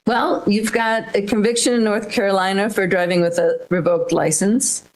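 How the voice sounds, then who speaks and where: A woman's voice: medium pitch, fairly even intonation, normal speed, poor audio. A woman judge ruling from the bench, firm and patient, often repeating words as she talks.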